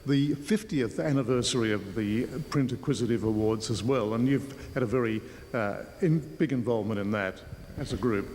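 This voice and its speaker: A man's voice: medium pitch, moderate pace, darkish tone. A man with an Australian accent, working as a television reporter and doing an on-location interview in a large indoor space. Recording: echoey hall with clear background noise.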